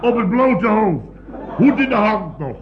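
An actor playing a blustering, boastful building contractor boss in a radio comedy, telling stories in a rambling, exclamatory, repetitive way. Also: male voice, high-pitched, very animated, a little slow, crowd noise. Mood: animated storytelling. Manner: rambling, repetitive, exclamatory storytelling with tag questions. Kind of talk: 1970s radio comedy acting. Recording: dull, lo-fi old radio recording.

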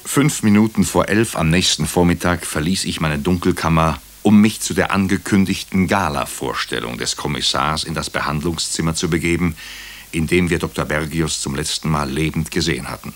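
An actor playing a wry hospital doctor who narrates a crime case in a radio drama, dry and ironic. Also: male voice, low-pitched, normal speed, lightly acted. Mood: measured narration.

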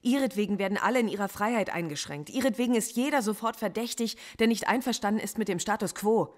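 A female actor voices a philosophy professor under interrogation in a radio drama. She speaks at a middle pitch, articulate and argumentative. She stays defiant and answers with dry irony. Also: fast talker, lightly acted. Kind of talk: German radio play acting.

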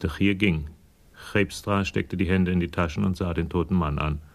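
A deep-voiced man narrates a German radio crime drama from a script. His voice has a gravelly creak. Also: steady tone, moderate pace.

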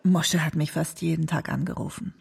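A woman's voice: low voice, fairly even intonation, moderate pace, heavily acted. An actress playing a doting, worried old mother in a radio drama, reminiscing tenderly. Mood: wistful, proud. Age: older. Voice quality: husky, gravelly, breathy. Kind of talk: documentary radio drama monologue.

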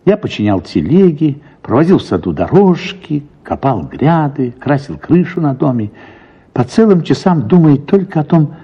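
A man reading a first-person literary story for radio in an expressive, reflective manner, with drawn-out words and pauses. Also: on the high side, slightly rough. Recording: slightly dull radio sound, music behind. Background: soft music.

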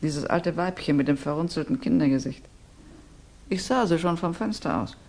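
Imperious, creaky-voiced mother. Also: sits low, animated, darkish tone. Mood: grave. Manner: insistent, measured, imperious. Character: resolute, commanding Russian mother, grieving lady of standing. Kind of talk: 1960s German radio drama acting.

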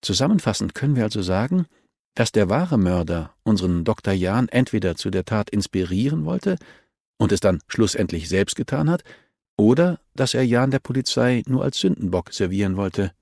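A man voicing a sharp-minded, teasing woman in an audiobook, with a probing, pointed manner. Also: fairly low pitch, big pitch swings, moderate pace, vocal fry, dry recording, studio quality, heavily acted. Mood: analytical.

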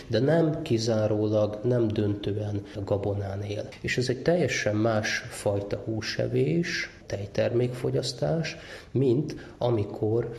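A man's voice: on the low side, vocal fry, darkish tone. A man speaking as an expert ecologist, explaining in an interview with emphasis on key words and rhetorical questions. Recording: roomy echo, faint background noise.

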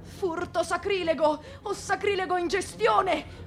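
Excitable old maid, radio theatre, shrill high voice, takes her time, coos then scolds. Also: measured pace, creaky, heavily acted. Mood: scandalized. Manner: theatrical, swooping between coos and cries. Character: excitable, doting old spinster turned stern and suspicious. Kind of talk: grotesque stylized radio theatre acting.

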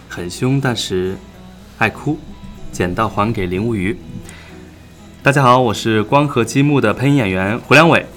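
A man's voice: lowish voice, a bit bright. A man who works as a voice actor, introducing himself and chatting casually as a live guest.

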